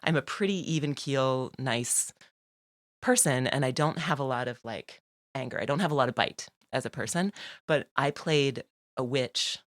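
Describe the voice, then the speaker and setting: A woman's voice: very deep voice, moderate pace, dry recording, studio quality. A woman guest on a podcast, talking casually about her life, with frequent laughter and lots of "like" and "you know". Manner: chatty, laughs often, many fillers. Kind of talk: casual conversational interview.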